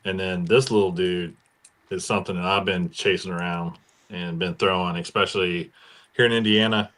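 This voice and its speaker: A man's voice: sits low, fairly even intonation, moderate pace, a bit bright. An American man chatting casually and enthusiastically about fishing gear as a podcast panelist.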